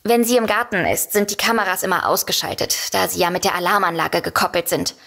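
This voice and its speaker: A woman's voice: fairly low pitch, quick pace, vocal fry, a bit bright. An actress playing a composed, sensible but worried woman novelist, explaining things earnestly in an audio drama. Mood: worried, indignant.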